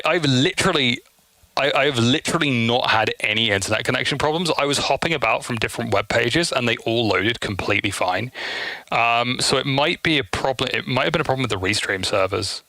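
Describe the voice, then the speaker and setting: A man's voice: mid-range voice, sharp tone, no room sound, rough recording. A man hosting a livestream, chatting casually to his audience in a sweary, effusive, theatrically exasperated way. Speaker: livestream host.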